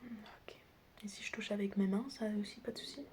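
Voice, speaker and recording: A woman speaking softly and often whispering in a playful, teasing ASMR roleplay, casually addressing the listener as a friendly doctor. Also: mid-range voice, fairly even intonation, a little fast. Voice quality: soft, breathy, often whispering. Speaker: ASMR creator playing a chaotic doctor best friend. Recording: close recording with clear background noise. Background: background noise, clicking.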